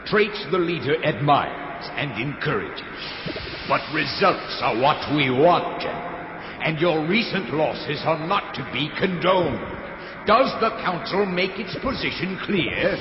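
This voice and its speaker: An actor playing a cruel, powerful council leader in a science fiction radio drama, condescending and menacing. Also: male voice, a little high, big pitch swings, a little slow, noisy background. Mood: silky menace. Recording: muffled echoing hall, loud music behind.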